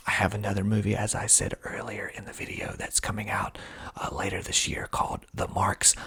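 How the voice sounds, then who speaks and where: Guy whispering asmr style, crisp bright hiss w some gravelly creak, dry little comments as he reads. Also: sits low. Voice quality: breathy, husky whisper. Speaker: filmmaker hosting his own video.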